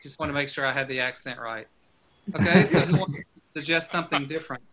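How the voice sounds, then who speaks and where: A man, a pastor, leading a small group on a video call in a friendly way, asking quick questions and laughing often. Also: fairly high pitch, crowd noise, low-quality recording.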